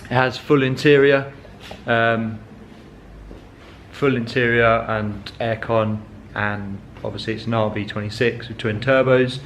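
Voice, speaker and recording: A man's voice: mid-range voice, steady tone, unhurried, fairly bright tone, slight background noise. A young man chatting casually in a car vlog, rambling with many ums and correcting himself as he goes. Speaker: car vlogger.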